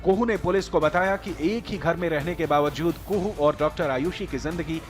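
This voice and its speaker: A man's voice: on the high side, a little fast. An Indian man reading a scripted, dramatic news report as a voice-over. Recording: music bed behind voice. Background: background music.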